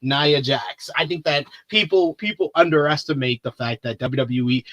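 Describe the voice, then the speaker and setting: Guy hosting wrestling talk, sharp bright voice, kinda high, hams up the big words. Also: normal speed. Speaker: host of a wrestling preview show. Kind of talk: animated wrestling talk show commentary.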